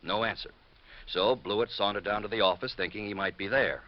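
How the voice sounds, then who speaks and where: Male actor as blunt, harried American office man, deeper voice, colloquial, old radio drama, dull recording. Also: brisk, natural voice. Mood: urgent, blunt. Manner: colloquial, urgent. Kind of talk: 1950s radio drama acting. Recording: muffled vintage radio recording.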